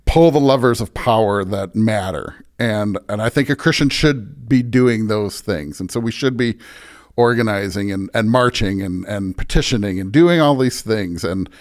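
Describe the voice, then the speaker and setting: A man's voice: lowish voice, normal speed. A man speaking as a pastor and podcast guest, reflecting earnestly and conversationally, often restarting and checking points with "right?". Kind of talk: conversational reflection on faith and ethics.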